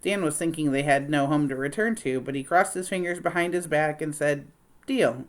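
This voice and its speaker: A woman with a low-pitched voice reads a children's novel aloud. She delivers it as straightforward story narration. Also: a little fast. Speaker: read-aloud reader.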